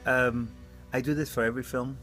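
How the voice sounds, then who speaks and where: An earnest man speaking as an indie film director, thinking aloud with a halting delivery. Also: mid-range voice, steady tone, fairly bright tone. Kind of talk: interview answers and addressing a group. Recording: background guitar music. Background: music.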